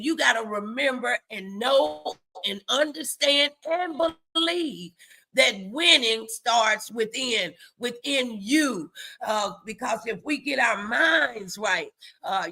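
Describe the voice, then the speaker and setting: Female motivational host, faith-based exhortation. Unhurried, bright and forceful, emphatic, talks straight to the viewer. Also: a little high. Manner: emphatic, repetitive, addresses viewers directly. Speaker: host of a morning motivation live stream.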